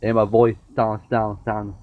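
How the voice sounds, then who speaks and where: A man's voice: fairly low pitch, monotone, a little slow. A young man with a sore throat reviewing a TV show in a casual vlog, rambling and often repeating and restarting his words. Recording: dull lo-fi with faint noise.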